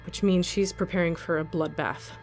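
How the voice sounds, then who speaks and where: A woman's voice: fairly low pitch, fairly even intonation, normal speed, faint music behind, natural voice. A woman playing a bitter, self-preserving captive fighter in an audio drama, blunt, demanding and sardonic. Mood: demanding, cold.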